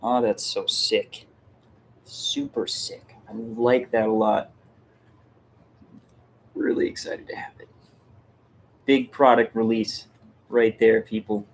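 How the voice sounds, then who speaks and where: Slow-paced man chatting casually. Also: on the low side, steady tone. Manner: informal, thinking aloud. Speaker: livestream host and product maker. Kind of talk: casual chat. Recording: faint background noise.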